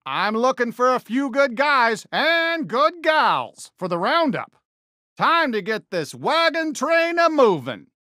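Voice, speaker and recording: A man's voice: very high-pitched, very animated, slow, pushed and bright, dry recording, studio quality, exaggerated voice. A man voicing a friendly, upbeat toy cowboy sheriff for a video game, with an American accent and a folksy Western drawl. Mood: upbeat, welcoming. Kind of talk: animated video game character lines.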